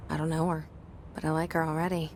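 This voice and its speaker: A woman's voice: low-pitched, little pitch movement, quick pace, background noise. An actress playing a guarded, wry young woman in a video game, confiding her private thoughts in an introspective monologue. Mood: cautious warmth. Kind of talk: video game internal narration.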